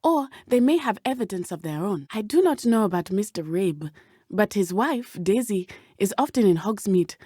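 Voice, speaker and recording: A woman voicing a brave, earnest teenage witch schoolgirl in a video game, speaking in a formal, measured way. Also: mid-range voice, lively intonation, dark tone, no echo, clean studio sound, lightly acted. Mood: earnest, calm. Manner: formal, measured, avoids contractions. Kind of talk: scripted game companion dialogue.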